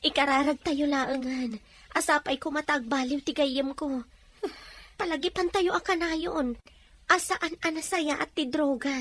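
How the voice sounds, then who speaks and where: A woman's voice: high-pitched, fairly even intonation, unhurried, fairly bright tone. A woman playing a concerned, loyal young friend, earnest and polite, with an Ilocano accent in an audio drama. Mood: worried.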